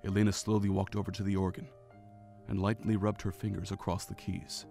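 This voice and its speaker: Low-pitched male story narrator. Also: little pitch movement, a little fast, vocal fry, warm tone, soft background music.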